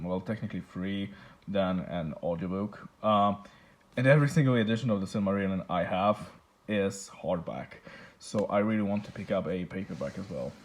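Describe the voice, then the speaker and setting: Slow, hesitant man. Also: lowish voice, a little mellow. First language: Polish. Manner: hesitant, many fillers and restarts. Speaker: book collector vlogger. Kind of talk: casual show-and-tell.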